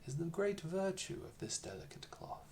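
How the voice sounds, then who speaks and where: Sneaky flattering weaver guy from a bedtime story reader doing it, higher pitched, showy and fawning. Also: normal speed, background noise. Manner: obsequious, showy presenting. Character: sly, flattering rogue weavers posing as tailors.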